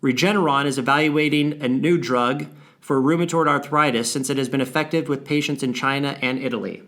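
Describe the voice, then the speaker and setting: A man reading a scripted briefing to clients in a measured, formal way, stating figures and names deliberately. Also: mid-range voice, steady tone, fairly bright tone. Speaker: financial firm presenter on a client briefing call. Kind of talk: scripted briefing read aloud.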